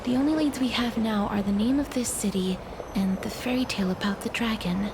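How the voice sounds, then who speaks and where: A woman voicing a composed, thoughtful traveler in a video game, speaking softly in a formal, reflective way, at times breathy. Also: a little high, fairly quick, background noise, natural voice. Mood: calm, reflective. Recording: loud music under the voice.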